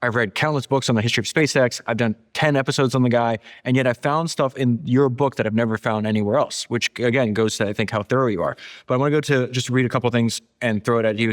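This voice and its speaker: Guy podcast host, talks fast, really emphatic, repeats stuff to hammer it home. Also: mid-range voice. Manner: emphatic, repeats phrases for stress. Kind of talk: animated book discussion, reading quotes aloud and commenting.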